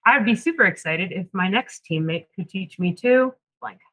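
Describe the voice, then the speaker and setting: A moderator on a careers panel with a bright, clear-toned voice at a middle pitch. They talk in a relaxed, conversational way and put questions to each guest in turn. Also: moderate pace.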